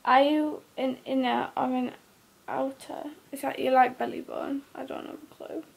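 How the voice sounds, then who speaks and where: A woman's voice: normal speed, vocal fry. An eighteen-year-old woman from the of England, lying in bed and giving short, sleepy answers to a list of questions. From North East England. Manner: sleepy, low-key, short flat answers. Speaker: vlogger. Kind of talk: casual Q&A vlog.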